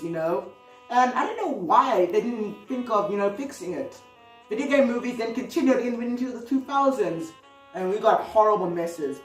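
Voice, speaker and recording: A woman hosting a video with casual, conversational commentary, rambling a little and adding hedging asides. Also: medium pitch. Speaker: video host. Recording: roomy, echoey, distant mic with music.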